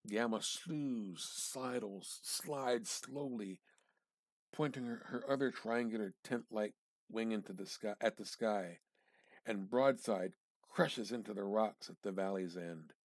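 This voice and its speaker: A man reads literary fiction aloud at a very slow pace, leaning into dramatic pauses. His voice is fairly low and noticeably husky. The delivery is deliberate and intense. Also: dry recording. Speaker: amateur reader.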